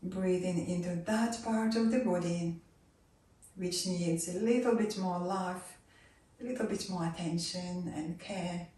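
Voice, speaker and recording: A woman leading a guided relaxation and meditation, giving soft, calm instructions that sometimes drop to a near whisper. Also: fairly low pitch, measured pace. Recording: roomy echo, voice far from mic.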